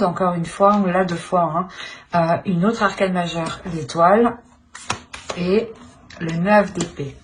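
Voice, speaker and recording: A woman giving an informal tarot reading, speaking haltingly as she searches for words and often clears her throat. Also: on the low side, a little hiss or hum. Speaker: tarot reader.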